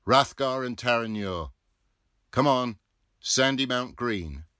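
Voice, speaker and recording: A man's voice: medium pitch, lively intonation, takes it slowly, pushed and bright, smooth, no room sound, studio quality, pitched above the performer's own voice. A man voicing a commanding tramway timekeeper who bawls out departures in an audiobook. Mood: bawling. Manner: bawling, commanding.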